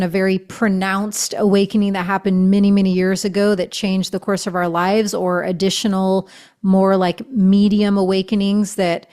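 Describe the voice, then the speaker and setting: A middle-aged woman talks as a podcast guest, speaking as a shaman and author. Her speech is gushing and spiritual, studded with "you know" and soft fillers. She keeps an ordinary, mid-height pitch. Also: smooth. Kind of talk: casual interview chat.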